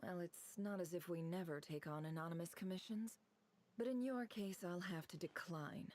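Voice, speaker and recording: A woman's voice: lowish voice, moderate pace, a little mellow, lightly acted. An actress playing a shrewd, self-assured woman intelligence broker in a video game, with a cool, dry, probing manner. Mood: wary, dry.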